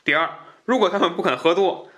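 A man's voice: high-pitched, fast, bright, forceful tone. A man hosting a book podcast, chatting in a colloquial way and given to chuckling at his own asides. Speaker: podcast host. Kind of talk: casual book talk show chat.

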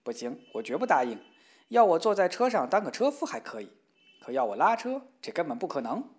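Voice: mid-range voice, sing-song, a little fast, close to an everyday voice. A storyteller voicing a proud, bossy rooster in a children's fairy tale. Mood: indignant. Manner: indignant, boastful.

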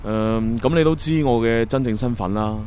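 An actor playing an earnest, analytical young time agent in a Cantonese sci-fi radio drama, with a Hong Kong accent. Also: male voice, mid-range voice, moderate pace, a little mellow, slight background noise, lightly acted. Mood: probing, earnest.

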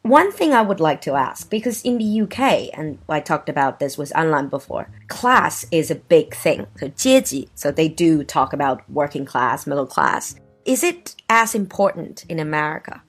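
A woman host with a Chinese accent, a native Chinese speaker, asking curious, measured questions. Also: low-pitched, very animated, slightly rough. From China. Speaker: podcast host. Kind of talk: interviewing in English.

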